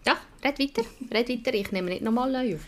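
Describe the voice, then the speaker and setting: A woman's voice: on the high side, quick pace. Chatty young woman hosting a podcast. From Switzerland. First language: Swiss German. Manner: chatty, laughs often, many fillers. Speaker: podcast host. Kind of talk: casual podcast chat.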